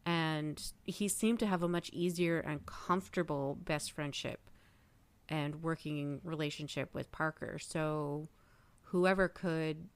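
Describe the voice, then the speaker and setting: A woman's voice: low voice, steady tone, slow pace, clean tone, no echo. A woman on a podcast panel, chatting casually as a fan.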